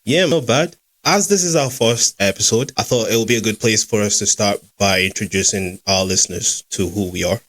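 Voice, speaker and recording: A young Scottish man hosts a podcast in an easygoing, chatty way. His voice sits on the low side, and he fills gaps with small fillers. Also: fairly quick, few pauses, fairly bright tone. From Scotland. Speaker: podcast host and founder. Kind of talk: casual chat.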